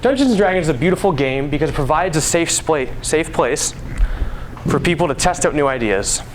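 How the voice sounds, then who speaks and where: A young man speaks to an audience in a voice pitched a little high. He is conversational and playful, telling things like a story in an informal public talk. Faint background noise runs behind him in the live recording. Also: expressive, brisk, poor audio.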